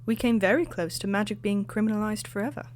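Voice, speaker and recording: A woman voicing a female artifact historian and author who explains things in a measured, lecturing way. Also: mid-range voice, steady tone, slight background noise, lightly acted. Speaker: expert guest. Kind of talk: audio drama acting.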